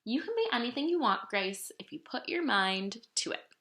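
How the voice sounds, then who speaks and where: A wise Trinidadian grandmother from a children's storybook, as a woman reader plays her. She talks in a folksy, reassuring way with animated rises and falls. The sound is bright and a little echoey. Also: on the high side, normal speed, voice pushed up. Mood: firm, encouraging. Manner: folksy, encouraging. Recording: roomy, echoing room sound.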